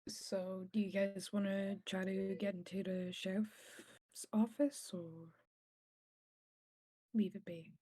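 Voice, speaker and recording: A woman's voice: mid-range voice, flat delivery, takes it slowly, dark tone, dry recording, studio quality. A woman chatting casually as a player in a tabletop roleplaying game.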